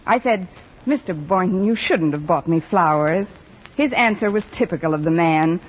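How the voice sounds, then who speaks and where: A woman's voice: on the low side, expressive, moderate pace. A woman playing a wry, wisecracking American schoolteacher in a vintage radio comedy. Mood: dryly amused. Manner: dry, sardonic. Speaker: lead actress and narrator. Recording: noisy muffled old radio recording.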